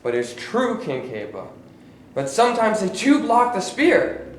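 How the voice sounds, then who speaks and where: A man's voice: sits high, wildly swooping pitch, unhurried, slight background noise, full character voice. A man playing a wounded caveman warrior in a staged reading, stammering and pleading as he talks. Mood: anxious. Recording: echoey hall.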